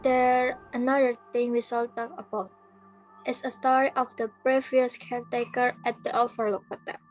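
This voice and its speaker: A woman playing a courteous, formal hotel manager in a readers theater piece, with measured delivery. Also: fairly high pitch, flat delivery, lightly acted. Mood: serious, formal. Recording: muffled audio with background music.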